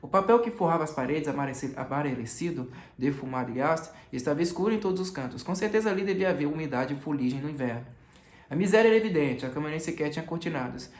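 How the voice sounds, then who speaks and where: A man's voice: fairly high pitch, animated, fast. A man reading a novel aloud as literary narration, occasionally stumbling and correcting himself. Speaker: audiobook reader. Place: echoey indoor room. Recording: echoey room.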